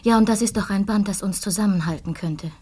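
An actress playing a grieving young widow in a German radio play, speaking in a hesitant, halting way. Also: female voice, mid-range voice, darkish tone, breathy edge, close to an everyday voice. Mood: hesitant, yielding.

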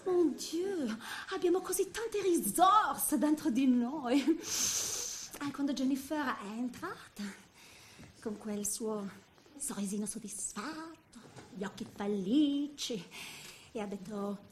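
Shrill, volatile jealous Frenchwoman. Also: lively intonation, takes it slowly, a little hiss or hum. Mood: brittle self-justification, then seething. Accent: French accent in Italian. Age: middle-aged. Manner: volatile, swinging from hushed menace to shrieks. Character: jealous, vindictive middle-aged Frenchwoman, theatrical and unhinged. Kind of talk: theatrical comic monologue.

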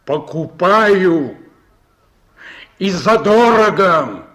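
A man's voice: sits high, lively intonation, drawn-out words, fairly bright tone, heavily acted. An actor playing a weary, proud reformed ex-convict man in a Soviet radio drama, speaking tersely. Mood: insistent, proud.

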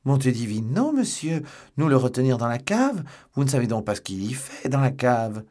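A man's voice: mid-range voice, very animated, moderate pace, darkish tone, voice pushed up, natural voice. A man voicing an anxious, servile innkeeper in a plaintive, exclamatory way, as in an audiobook. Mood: flustered, indignant. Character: piteous, complaining male innkeeper, anxious and servile.

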